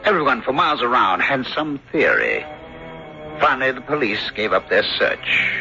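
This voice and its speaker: An actor playing an earnest, inquisitive country doctor who also narrates his story in a measured, theatrical radio drama style. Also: fairly low pitch, pushed and bright, full character voice. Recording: dull lo-fi old radio broadcast.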